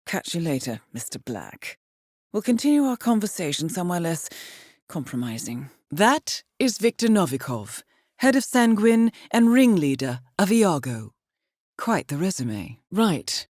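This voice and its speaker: An actress playing a cool, dryly witty spy handler in a video game, with a British accent and a measured, polished, understated delivery. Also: female voice, fairly low pitch, sing-song, a little mellow, dry recording, studio quality. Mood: dry, wry. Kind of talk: scripted video game voice acting, mission briefings.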